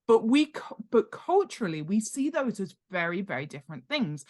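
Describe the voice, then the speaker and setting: A woman's voice: mid-range voice, big pitch swings, moderate pace, a little mellow. A middle-aged British woman talking conversationally as an expert podcast guest, stressing points emphatically by repeating words. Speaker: guest, author and world-building expert. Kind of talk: conversational explanation in a podcast interview.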